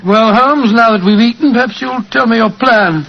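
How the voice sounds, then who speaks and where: An actor playing a loyal, genial British gentleman sidekick in a 1940s radio drama, with an inquisitive, mildly bumbling manner. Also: very high-pitched, moderate pace, gravelly. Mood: curious. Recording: muffled, hissy 1940s radio recording.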